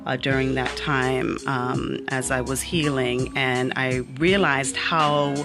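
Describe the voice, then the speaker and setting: A woman's voice: rumbling low, fairly even intonation, slow, music in the background. A woman raised in the Bahamas, an author speaking as an interview guest, earnest and reflective with frequent ums. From born in Miami, raised in the Bahamas. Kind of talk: personal interview conversation.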